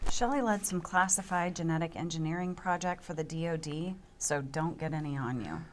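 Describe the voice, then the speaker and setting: A woman playing a tough, all-business forensic team leader of about forty, brisk and commanding, at a table read. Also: deep voice, slight background noise, close to an everyday voice. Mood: businesslike.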